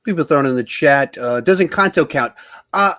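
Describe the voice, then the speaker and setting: A man's voice: on the high side, expressive, a little fast, a bit bright. Man chatting casually about films. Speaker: podcast host. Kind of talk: casual film discussion.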